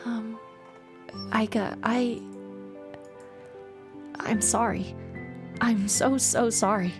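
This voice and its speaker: A woman voicing a timid, guilt-ridden young woman who speaks haltingly and apologetically. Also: fairly high pitch, steady tone, music in the background. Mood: apologetic.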